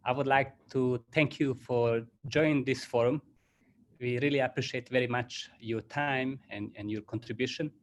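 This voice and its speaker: A man's voice: medium pitch, slight background noise. A middle-aged man with a Croatian accent, founder of a business school, giving a formal welcome over a video call; he speaks earnestly and often restarts his phrases. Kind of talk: formal welcome address to a panel.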